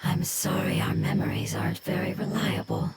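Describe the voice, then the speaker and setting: An actress voicing an ancient, gentle, solemn elemental creature in a video game, speaking formally and with measured explanation. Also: female voice, fairly low pitch, huge pitch swings, creaky, whispered, full character voice. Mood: calm, polite. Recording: loud game music behind voice. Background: music.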